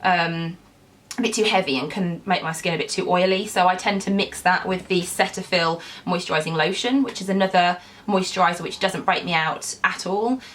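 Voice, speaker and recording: A woman's voice: lowish voice, moderate pace, fairly bright tone, slight background noise. A young woman with a cold, sounding congested as she chats casually through her skincare routine with lots of ums. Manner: chatty, with frequent ums and kind ofs. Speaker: beauty vlogger.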